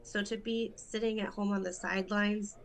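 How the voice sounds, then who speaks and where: A woman's voice: mid-range voice, little pitch movement. A woman in her thirties from Rochester, New York, a judicial candidate talking earnestly and in detail in an interview. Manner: earnest, detailed, quick in stretches. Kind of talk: casual interview talk.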